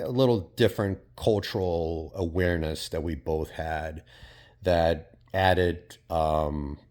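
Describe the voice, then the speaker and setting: A man's voice: sits low, creaky, a little mellow. A man from Portugal talking in a casual, reflective vlog monologue, rambling and hesitant, with many fillers and casual swearing. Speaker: vlogger.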